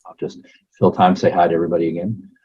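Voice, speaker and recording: A hoarse man hosting an online meeting, chatting in a rambling way with lots of fillers. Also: low-pitched, flat delivery, quick pace, mellow. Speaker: meeting host. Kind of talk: casual meeting opener. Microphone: computer mic on a video call. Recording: dull, muffled video call audio.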